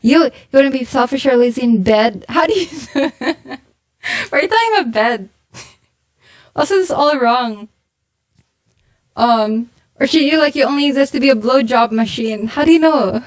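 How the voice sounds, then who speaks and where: A woman's voice: sits high, lively intonation. A woman streamer reading viewer confessions aloud and reacting in casual chat. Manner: exasperated, quick reading aloud.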